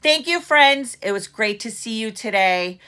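A middle-aged woman reading a picture book aloud to children like a teacher, with deliberate storyteller phrasing and strong emphasis. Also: a little high, lively intonation, pushed and bright, smooth. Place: living room at home, seated in an armchair.

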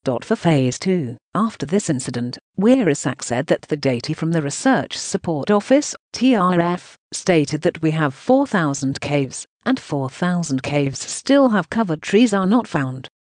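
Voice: medium pitch, very animated, unhurried, hardly any pauses, darkish tone, no echo. A synthetic text-to-speech voice reading translated news narration in a choppy way, speaking punctuation marks aloud.

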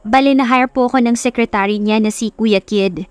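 A woman's voice: a little high, normal speed, smooth, natural voice. A woman voicing an affectionate, respectful young woman in her early twenties in a dramatized love-story audiobook. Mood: polite. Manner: respectful, gently teasing. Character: eager, polite twenty-two-year-old woman, affectionate daughter and new tutor. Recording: music under the voice.

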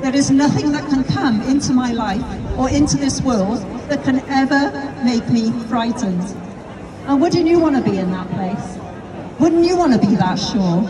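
A middle-aged British woman preaching in the open air on a shopping street, earnest and full of rhetorical questions, into a handheld microphone through a speaker. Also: sits high. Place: busy pedestrian shopping street outdoors. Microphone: handheld mic through a street speaker. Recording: distant, outdoor street with crowd noise. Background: crowd of shoppers.

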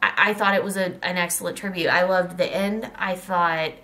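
A woman's voice: fairly low pitch, steady tone, normal speed, a crowd in the background. Earnest rambling woman, mid twenties. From Texas. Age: mid 20s. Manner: earnest, rambling, restarts sentences. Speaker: vlogger. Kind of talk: casual chat with a friend. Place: small room indoors. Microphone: webcam in front.

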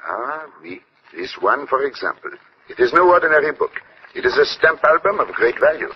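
An actor playing a polite, shrewd, self-assured Belgian detective in an old radio drama, with French-speaking Belgian accent and formal phrasing sprinkled with French. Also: male voice, mid-range voice, sing-song, moderate pace, close to an everyday voice. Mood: intrigued. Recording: dull, lo-fi old radio recording, noisy.

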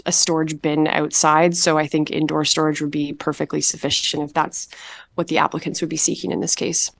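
A woman's voice: deep voice, flat delivery, gravelly. A woman giving a formal, plain-spoken staff presentation as a municipal planner at a council meeting. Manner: measured, procedural, plain-spoken.

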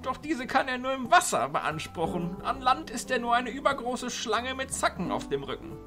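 A woman's voice: fairly high pitch, big pitch swings, moderate pace, over music. A woman playing a dignified, grave male archchancellor with formal, courtly phrasing in an amateur audio drama.

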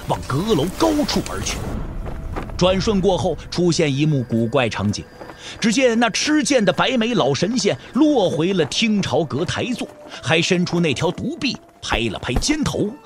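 A man narrating a martial arts novel for an audio drama in a dramatic storytelling style. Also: on the high side.

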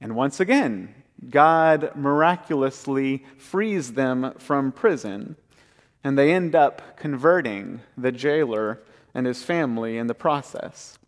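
Man, 30s, pastor, sermon, measured storytelling, slow delivery, echoing church. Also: mid-range voice, lively intonation. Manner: measured storytelling, retelling scripture casually. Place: church sanctuary, large, indoors. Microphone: pulpit mic, far camera. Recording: long church reverb.

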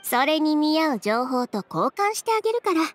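A woman's voice: high voice, very animated, normal speed. An actress playing a poised, proud young woman in a video game, speaking with cool, haughty sarcasm. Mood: sly, teasing. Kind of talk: Japanese game voice acting.

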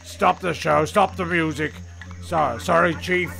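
A man's voice: fairly high pitch, a little slow, creaky, music in the background. A man playing a flustered, exasperated narrator of a comic castaway audio serial, rambling and stumbling over his words.

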